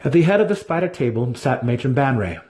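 A man's voice: medium pitch, expressive, fast talker, darkish tone. A man giving a dramatic reading of a fantasy story as its narrator. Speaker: audiobook narrator.

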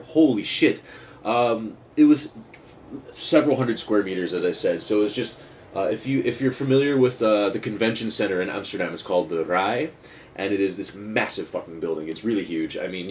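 A man hosting a casual, rambling solo podcast, talking in a digressive, sweary and self-mocking way. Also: lowish voice. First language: not Dutch, speaks English fluently. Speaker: podcast host. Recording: dull lo-fi sound with faint noise.

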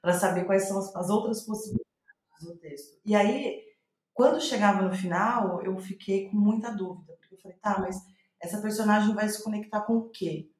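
A woman's voice: mid-range voice, brisk, a little mellow. A Brazilian woman giving reflective interview answers as a playwright, thinking aloud and searching for her words. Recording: roomy, echoing sound.

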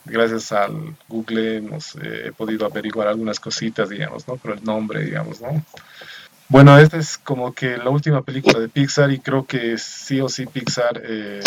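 A man's voice: on the low side, fairly even intonation, normal speed, gravelly, noisy background. A man with a Bolivian accent who hosts a casual chat reviewing a film, rambling with many fillers and tag questions. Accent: Bolivian Spanish.

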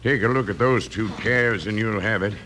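A proud rancher father in an old-time radio Western. His voice is fairly low but tense and forceful. He speaks gruffly in folksy, plain cowboy talk. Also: moderate pace, slight background noise. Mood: proud, earnest.

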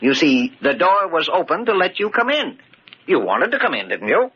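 Cagey, stubborn old father, highish swooping voice, muttering repeats; vintage radio drama with muffled sound. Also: very animated, normal speed, a little hiss or hum. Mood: cagey, flustered. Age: older. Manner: hesitant, evasive, muttering repetitions.

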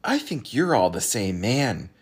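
A man's voice: sits high, big pitch swings, takes it slowly, slightly rough, voice pushed up, exaggerated voice. A man voicing a hesitant, puzzled young boy who speaks in a tentative, questioning way.

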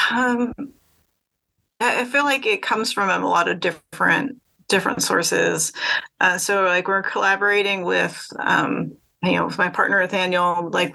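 Woman guest, casual and meandering, bright with a gravelly edge. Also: fairly low pitch, poor audio. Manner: thoughtful, meandering, many fillers. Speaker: podcast guest, artist and author. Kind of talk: casual conversation.

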